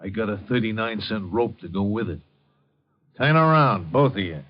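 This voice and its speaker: A man's voice: fairly low pitch, expressive, normal speed, close to an everyday voice. An actor playing a cocky, menacing gunman in a 1950s radio crime drama, speaking in sneering tough-guy slang. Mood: cold, threatening. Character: menacing, cocky male gangster holding a gun. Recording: dull, band-limited 1950s radio recording.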